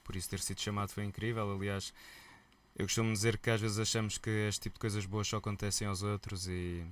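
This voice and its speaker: A man with a European Portuguese accent, a musician guest telling stories in a relaxed, colloquial interview chat. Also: on the low side, fast talker, slight background noise. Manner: lively storyteller, relaxed and colloquial.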